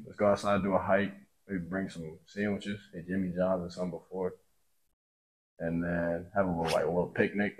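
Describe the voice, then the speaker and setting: A man's voice: low voice, a little mellow. A man in his twenties chatting casually as a blind date contestant, bantering with slang and frequent laughs. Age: 20s. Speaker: dating show contestant. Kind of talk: casual chat.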